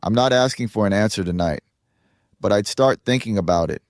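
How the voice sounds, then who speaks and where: A man's voice: lowish voice, brisk, no room sound, studio quality, natural voice. A man voicing a pragmatic, coolly persuasive businessman in an audio story. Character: smooth, pragmatic businessman, coolly pressing for a sale.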